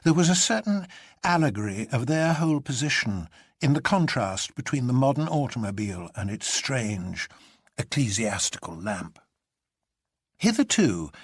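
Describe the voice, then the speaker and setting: Expressive male story narrator, husky and close. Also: mid-range voice, no room sound. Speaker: audiobook narrator. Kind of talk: dramatic literary narration.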